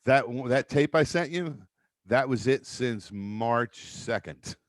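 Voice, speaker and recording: A deep-voiced male comedian running through a stand-up bit with profane, self-mocking asides. His pitch swings widely as he talks. The sound is dry and close. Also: expressive, slow, no echo.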